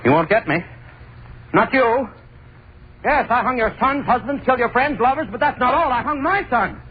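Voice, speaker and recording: A man's voice: very high voice, lively intonation, normal speed, husky, heavily acted. An actor playing a hangman who narrates his own story as he slides into madness, in a 1930s radio play. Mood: hysterical. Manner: brooding first-person narration, turning hysterical. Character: gloating hangman sliding into madness. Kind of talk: 1930s radio drama acting. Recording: tinny, very hissy 1930s radio transcription.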